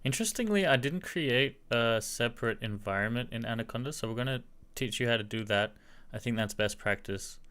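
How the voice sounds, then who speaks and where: A man with a slight American accent, chatting casually while teaching a live-streamed software tutorial and answering viewers. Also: medium pitch, lively intonation, a little fast, smooth.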